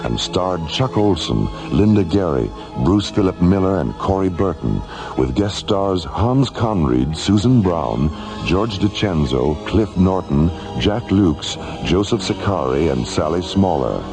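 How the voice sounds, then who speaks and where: Male narrator-host for radio drama: low voice, expressive intonation, solemn formal announcing over music. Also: unhurried. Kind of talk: scripted dramatic narration and credits. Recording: music behind the voice.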